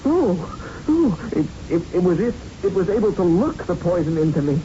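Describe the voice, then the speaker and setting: An actor in a 1970s radio drama playing a tough, haunted young boxer who tells his story in a halting, intense, streetwise way. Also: sits high, very animated, warm tone. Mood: frightened, shaken. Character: haunted young male boxer, tough, plain-spoken, shaken. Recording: muffled, noisy 1970s radio recording.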